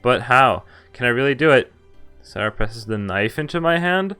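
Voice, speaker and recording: A man's voice: mid-range voice, lively intonation, normal speed, bright, forceful tone, faint music behind. A man streaming a visual novel, reading it aloud with casual commentary and some stumbles, amused asides mixed in. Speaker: game streamer.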